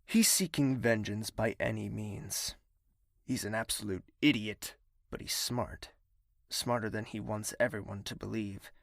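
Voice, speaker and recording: An actor playing a bitter, menacing young prince in an audio drama, speaking in a formal, commanding, deliberate way. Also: male voice, on the low side, gravelly, fairly bright tone, dry recording, clean studio sound. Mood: proud, bitter. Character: bitter young male prince, self-declared villain, imperious and menacing.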